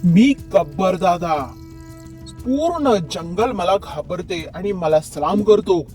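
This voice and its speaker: Cartoon jungle bully wolf, played by a man: boastful, threatening, high voice, music bed. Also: expressive, slow pace, darkish tone. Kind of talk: children's cartoon story acting.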